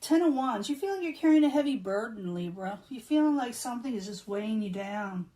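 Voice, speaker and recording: Woman, tarot reader, hoarse, throat-clearing, chatty, um fillers, distant mic. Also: on the high side, expressive, a little fast, dark tone. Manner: chatty, repeats herself, many um fillers. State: losing her voice, clearing throat. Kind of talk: casual tarot card reading.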